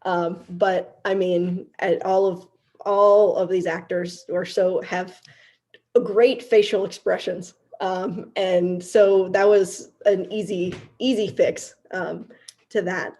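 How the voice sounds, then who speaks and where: A woman speaking casually and haltingly in an online panel discussion, thoughtful and hesitant as she goes. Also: lowish voice, steady tone, warm tone. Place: online video call.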